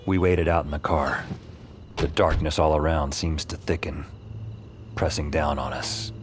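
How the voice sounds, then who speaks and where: Deep-voiced, wry noir hero. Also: male voice, fairly even intonation, measured pace, a little hiss or hum. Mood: brooding. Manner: wry, dry. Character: weary, self-mocking male noir hero narrating his fight. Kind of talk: scripted first-person noir narration. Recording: voice over music.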